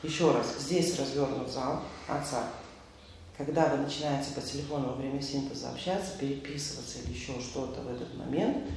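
A man's voice: a little high, a little mellow. A man leading a spiritual seminar, lecturing informally with fillers and rhetorical questions put to the audience. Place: large indoor hall. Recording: echoey hall, voice from far off, faint noise.

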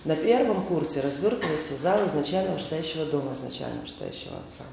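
A man's voice: on the high side, huge pitch swings, fast, vocal fry, darkish tone. A man leading a spiritual seminar, lecturing informally with fillers and rhetorical questions put to the audience. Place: large indoor hall. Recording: echoey hall, voice from far off, faint noise.

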